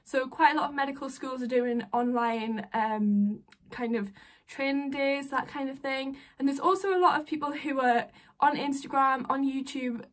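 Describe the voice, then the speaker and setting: A woman's voice: high voice, moderate pace. A young woman, a student giving casual advice to camera from a small bedroom. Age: young adult.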